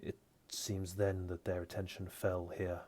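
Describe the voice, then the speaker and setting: A man voicing a formal male knight giving a measured briefing in a tabletop game. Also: low-pitched, flat delivery, a little mellow. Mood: grave.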